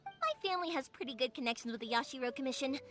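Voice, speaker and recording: An actress playing a cheerful, caring young woman in a video game, chatty, expressive and easygoing. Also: high-pitched, racing, soft background music. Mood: breezy, confident. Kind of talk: video game character acting.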